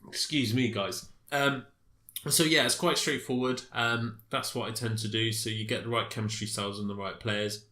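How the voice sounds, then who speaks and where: A man's voice: mid-range voice, steady tone, normal speed, pushed and bright, echoey room. A man with a stuffy, congested voice from a cold, casually commenting on his game with many fillers and restarts. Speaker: gaming commentator.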